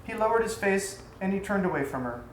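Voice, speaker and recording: A woman's voice: medium pitch, lively intonation, fairly quick. Echoey recording of a woman reading a story. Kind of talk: dramatic literary story narration. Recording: echoey room, faint background noise.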